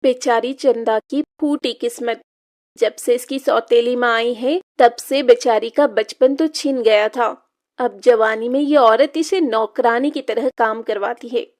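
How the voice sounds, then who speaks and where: A pitying village neighbour played by a woman in a cartoon tale. She laments in a high voice at a slow pace, full of sympathy. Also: steady tone, darkish tone, lightly acted. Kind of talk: Hindi moral-story cartoon dubbing.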